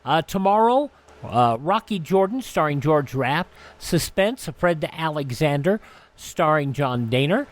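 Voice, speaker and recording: A man's voice: on the high side, lively intonation, a little slow. A man hosting a radio show, presenting programmes in an informal, conversational way. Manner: chatty, conversational.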